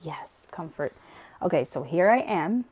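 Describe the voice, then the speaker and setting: A woman's voice: low-pitched, animated, unhurried, darkish tone, slightly rough. A woman from Brazil with a light Brazilian accent, teaching English pronunciation in a casual, chatty video lesson, laughing often and checking in with "right?". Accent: Brazilian Portuguese. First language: Portuguese.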